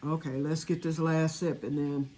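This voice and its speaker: A woman's voice: low-pitched, flat delivery, a little slow, warm tone, slight background noise. A woman in her sixties, chatting casually to camera about her homemade batch, rambling and talking straight to her viewers. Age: 60s. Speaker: home vanilla maker.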